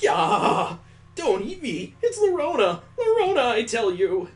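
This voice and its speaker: A man voicing an excitable, flustered teenage girl from a visual novel, whining and exclaiming in protest. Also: very high voice, a little slow, voice pushed up, full character voice. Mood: panicked. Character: excitable, flustered airheaded fifteen-year-old girl. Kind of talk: visual novel character reading.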